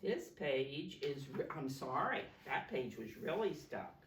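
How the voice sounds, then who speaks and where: A woman reading a picture story aloud to young children in a sing-song way, pausing to point things out and ask simple questions. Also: very deep voice, very animated, slight background noise. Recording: roomy echo, distant microphone.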